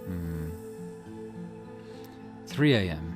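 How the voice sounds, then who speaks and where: A man's voice: deep voice, animated, extremely slow, music in the background. A man doing let's play narration, reading game text aloud and muttering his own reactions between passages. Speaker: gaming video host.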